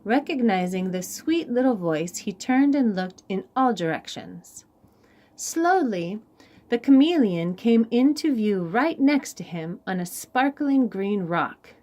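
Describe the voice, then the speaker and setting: A woman reading a children's story aloud in an expressive, deliberate and dramatic way, pausing for young listeners. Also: mid-range voice.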